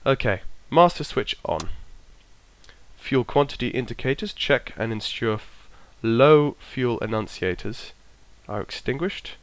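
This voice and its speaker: A man with a bit of a cold, his voice croaky, casually talking himself through an aircraft checklist and trailing off as he goes. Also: medium pitch, animated, measured pace. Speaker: flight sim streamer. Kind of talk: casual narrated walkthrough.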